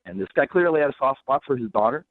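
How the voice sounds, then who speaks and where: A man with a middling pitch talks over a narrow telephone line. He is blunt, self-assured to the point of smugness, and never apologetic. The sound is dry and thin, like a call taken on air. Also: lively intonation, fast talker, dry recording. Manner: blunt, smug, unapologetic. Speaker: phone guest on a radio show. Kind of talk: unscripted phone call conversation. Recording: band-limited phone line.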